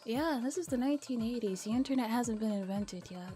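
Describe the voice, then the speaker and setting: A woman with a slightly high, mellow voice reads a visual novel aloud for a stream in a gentle ASMR style. She is soft-spoken and often slips toward a whisper, moving between reading and casual asides. Music plays behind her. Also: brisk. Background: background music.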